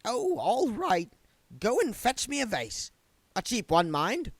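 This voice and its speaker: Man doing a brisk, no-nonsense older woman. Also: very high voice, wildly swooping pitch, no room sound, pitched above the performer's own voice, heavily acted. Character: stubborn middle-aged woman, a medium.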